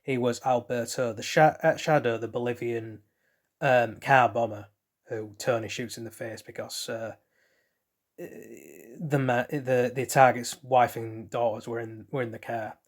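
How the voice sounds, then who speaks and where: A man's voice: mid-range voice, fairly even intonation, a little slow. A man hosting a casual trivia quiz, reading out questions and then rambling loosely through hesitant asides about the answers. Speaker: quiz host.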